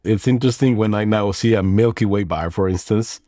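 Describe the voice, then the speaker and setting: Deep-voiced Mexican man, thoughtful guest. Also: fairly quick, mellow, no room sound, clean studio sound. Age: 40s. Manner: thoughtful, rambling with frequent restarts and fillers. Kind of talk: conversational interview.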